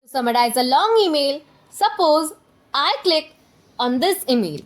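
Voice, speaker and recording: A woman with a high voice narrates a scripted software tutorial. Her intonation is very animated, moving freely up and down. She speaks directly to the viewer as an instructor, laying out each step in order. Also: a little slow. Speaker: tutorial presenter.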